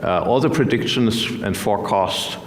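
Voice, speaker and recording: A man with a lowish voice gives a measured, unscripted answer on a conference stage, pausing on fillers and restarting phrases. The sound is roomy with a long reverb tail from the hall. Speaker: fund manager on a panel. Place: conference stage before an audience. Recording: echoey hall from a stage mic.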